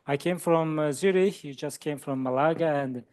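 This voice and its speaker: A man moderating a panel discussion, speaking in halting phrases with short thank-yous. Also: a little high, darkish tone, no echo. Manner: halting, repeats words, short acknowledgments. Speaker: webinar moderator.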